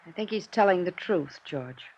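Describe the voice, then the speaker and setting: An actress playing a desperate, scheming femme fatale in a 1950s radio drama, intense and melodramatic. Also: female voice, lowish voice, animated, normal speed, darkish tone, highs cut off. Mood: uneasy, wary.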